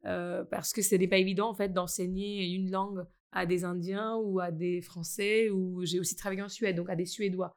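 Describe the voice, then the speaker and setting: A woman's voice: lowish voice, flat delivery, normal speed, few pauses, darkish tone, clean tone. A middle-aged woman from India, talking hesitantly with many fillers as an interviewee about founding a school. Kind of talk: conversational interview.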